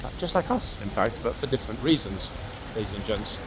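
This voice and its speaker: A man's voice: fairly low pitch, lively intonation, a little fast, over music. A man giving casual, chatty walking commentary to viewers as a city walk host, recorded outdoors on the street. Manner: chatty, addressing viewers directly. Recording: clear street traffic noise outdoors. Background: traffic and urban outdoor ambience.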